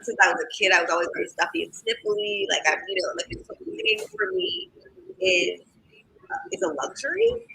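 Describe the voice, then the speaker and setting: A guest singer with a raspy, gravelly voice that carries a bright, sharp edge. They talk in a loose, candid stream, circling back with fillers and restarts, and a faint hiss sits under the recording. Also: medium pitch, wildly swooping pitch, moderate pace. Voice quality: raspy, scratchy voice. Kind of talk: casual interview chat.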